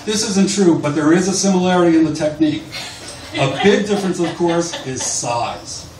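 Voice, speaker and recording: A man's voice: fairly high pitch, moderate pace, a little mellow. Male author at a live bar reading, roomy echo and faint noise around the voice. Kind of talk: live literary reading aloud from a book. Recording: distant, echoey, noisy live bar recording.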